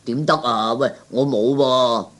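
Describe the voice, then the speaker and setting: A man's voice: medium pitch, steady tone, unhurried, a bit bright. A man playing a boastful older male racegoer with a self-important drawl in a 1960s Cantonese radio comedy. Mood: puzzled, reluctant.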